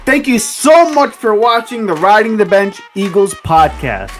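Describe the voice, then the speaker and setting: A woman's voice: mid-range voice, expressive, measured pace, faint music behind. A woman reading a scripted podcast outro in an upbeat, singsong promotional manner. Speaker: outro announcer.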